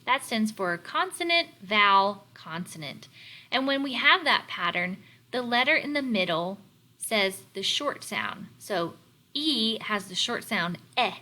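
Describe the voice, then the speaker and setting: A woman teacher leading a read-aloud lesson for young children, explaining words in a deliberate, instructional way. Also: medium pitch, bright, forceful tone. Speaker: elementary teacher.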